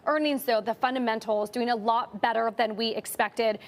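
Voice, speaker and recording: A woman co-anchoring a live markets show, walking through charts and earnings in a lively, upbeat way. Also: fairly high pitch, moderate pace. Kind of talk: market news analysis.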